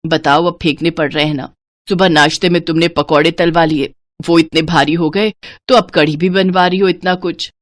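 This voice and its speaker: A woman's voice: fairly low pitch, fairly even intonation, normal speed, natural voice. A woman voicing a patient but scolding older mother-in-law who lectures about waste.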